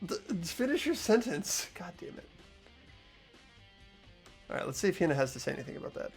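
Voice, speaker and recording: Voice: mid-range voice, sing-song, brisk, gravelly, soft background music. A playthrough host reading a video game story aloud in character, with casual asides.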